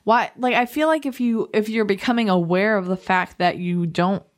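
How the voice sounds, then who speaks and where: A woman's voice: medium pitch, moderate pace. A woman co-hosting a casual talk show, putting probing questions in an informal way. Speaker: podcast co-host asking questions. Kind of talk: casual chat.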